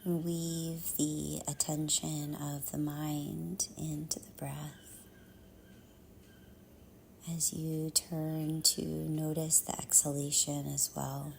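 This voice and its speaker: A woman leading a guided meditation in a soft, half-whispered, soothing voice, with measured phrases and long pauses. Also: deep voice, flat delivery, vocal fry, a little hiss or hum.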